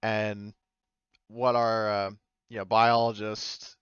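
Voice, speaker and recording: A man's voice: fairly low pitch, level tone, slow, a bit bright, clean tone, no echo, highs cut off. A man acting as game master, narrating casually and informally with some swearing.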